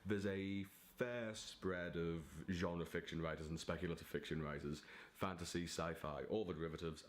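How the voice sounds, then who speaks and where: A man talking casually to camera as a vlogger and writer, in a theatrical, giddy, digressive manner. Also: rumbling low. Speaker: vlogger and aspiring novelist.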